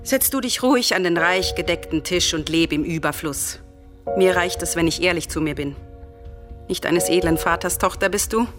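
An actress playing a grieving, vengeful, defiant princess in a classical radio tragedy, speaking in solemn, impassioned declamation. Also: female voice, fairly low pitch, moderate pace, over music, close to an everyday voice. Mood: scornful.

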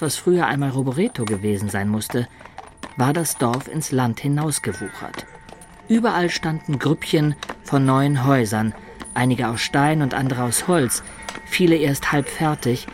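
A man's voice: medium pitch, natural voice. A man as a storytelling traveller, noisy ambience behind. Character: storytelling traveller recounting his own homecoming adventures. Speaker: first-person narrator. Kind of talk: scripted narration in a radio play.

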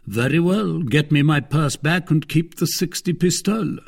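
A proud, sardonic gentleman drinker and swordsman from an adventure storybook, acted out by a man. He declaims in lordly fashion, the pitch raised and swinging widely, with a husky edge. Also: animated, moderate pace. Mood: lordly, commanding. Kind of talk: storybook character reading.

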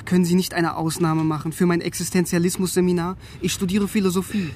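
An actor playing a bookish young East German philosophy student who narrates a radio drama in a wry, articulate manner. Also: male voice, high-pitched, level tone, fast talker, a little mellow, a little hiss or hum, natural voice. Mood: pleading, insistent. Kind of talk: first-person radio drama narration and dialogue. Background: music.

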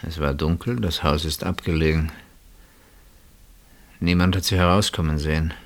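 A man's voice: extremely low pitch, steady tone, normal speed. An actor in a German radio play, playing a suspicious husband who confronts his sister-in-law with insistent, dramatic questioning. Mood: cold, insinuating.

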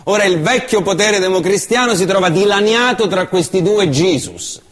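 A male stage actor delivering a theatrical monologue, impassioned and shifting from hushed tones to loud bursts. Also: high voice, moderate pace, in a large hall. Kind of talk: theatrical monologue reciting an essay.

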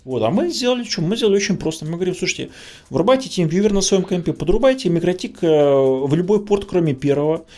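A man's voice: high-pitched, animated, fast talker, mellow. A man in a casual tech discussion, talking informally like someone telling stories from work. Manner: informal, storytelling. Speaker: podcast guest.

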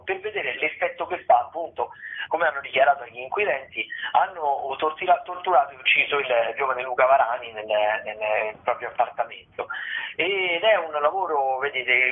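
A man giving conversational commentary as a radio critic, discursive and often pausing with filler sounds. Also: on the high side. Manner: hesitant, discursive, with filled pauses. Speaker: theatre critic, radio guest presenting listings. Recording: tinny phone line on radio.

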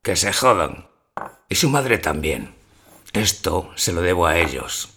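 An actor playing a bitter, weary maimed door-to-door photographer in an audio drama, blunt but formally polite. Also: male voice, low-pitched, very animated, a little slow, bright, forceful tone, slight background noise, exaggerated voice. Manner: blunt, resentful, formally polite to his customer. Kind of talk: audio fiction dialogue.

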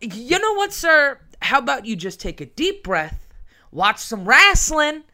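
A woman's voice: sits high, big pitch swings, a little slow, pushed and bright, full character voice. A woman playing a polite, easily flustered young male radio DJ who hosts an alternative-rock show. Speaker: radio host.

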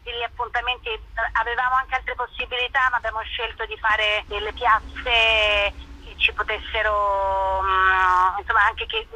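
Female interview guest, very bright high voice, hesitant casual delivery, dry phone call to radio. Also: level tone, moderate pace. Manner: hesitant, searching for words. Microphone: telephone.

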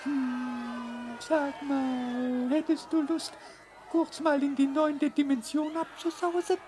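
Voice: high-pitched, little pitch movement, takes it slowly, a little mellow, a little hiss or hum. An actor voicing a tiny, businesslike, scheming alien mouse in a German radio comedy. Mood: musing.

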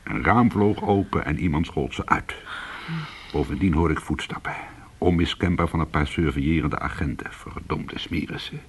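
An actor playing a weary, hard-boiled former cat burglar, telling his story in an intimate, brooding and deliberate way in a radio play. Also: a bass voice, husky, heavily acted. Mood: hushed, suspenseful.

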